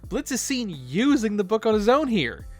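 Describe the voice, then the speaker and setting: Video commentary host, casual and expressive, swooping intonation, music bed. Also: mid-range voice, normal speed.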